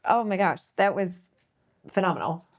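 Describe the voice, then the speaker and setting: An enthusiastic woman hosting a panel chat, gushing about a script reading. Her voice sits a little low and she talks in quick, eager bursts. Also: expressive. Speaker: host.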